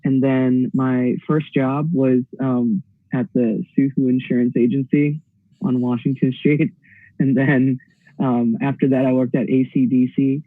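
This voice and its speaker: A man's voice: mid-range voice, flat delivery, a little slow, dry recording. A man from Hawaii, a performer, introducing himself remotely in an upbeat, enthusiastic way with many fillers. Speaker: poet and performer. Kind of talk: panel self-introduction. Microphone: dialing in remotely. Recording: tinny phone-like line.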